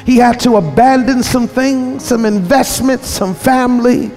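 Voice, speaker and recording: A man's voice: very high-pitched, measured pace, darkish tone. A man preaching a sermon in an emphatic, repetitive cadence that sometimes slides into half-singing. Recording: reverberant hall, faint music behind.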